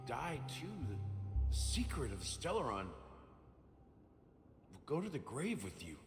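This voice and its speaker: An actor playing a gruff, skeptical, worried friend in a video game, with a blunt, challenging manner. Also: male voice, mid-range voice, huge pitch swings, a little slow, exaggerated voice. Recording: long hall reverb, loud game music.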